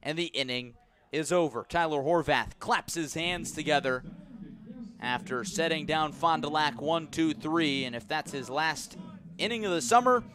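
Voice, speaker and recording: A man calling baseball play-by-play live on the radio, with punchy calls between measured narration. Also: on the high side, a bit bright. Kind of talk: live radio sports commentary.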